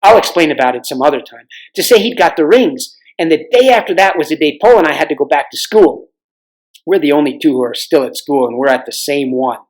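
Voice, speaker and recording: A man's voice: a little high, animated, fairly quick, close to an everyday voice, low-quality recording. A man voicing a chatty, eager schoolboy in a storybook, explaining things informally. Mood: excited recounting.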